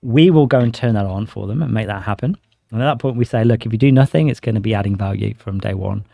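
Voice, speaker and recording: A man's voice: fairly low pitch, fast talker, vocal fry, warm tone, dry recording, studio quality. A man explaining a product conversationally as an interview guest, with enthusiasm and everyday examples. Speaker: interview guest, sales director.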